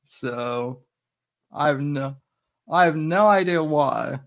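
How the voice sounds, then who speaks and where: A man's voice: on the high side, takes it slowly, a bit bright. A young man hosting a casual livestream, stumbling and repeating his words as he starts sentences. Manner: hesitant, repeats his words, reads chat. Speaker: livestream host.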